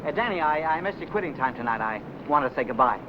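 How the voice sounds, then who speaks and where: A man's voice: fairly high pitch, expressive, quick pace, close to an everyday voice. An actor playing an indignant, chatty American wise guy in a 1950 film noir, griping in period slang. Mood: aggrieved. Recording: noisy, dull old film soundtrack.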